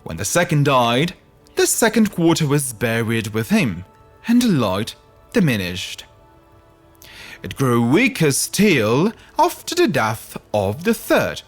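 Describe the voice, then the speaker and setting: A man narrating a scripted fairy tale in a theatrical, deliberate storytelling manner, as a podcast host would. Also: medium pitch, huge pitch swings, soft background music.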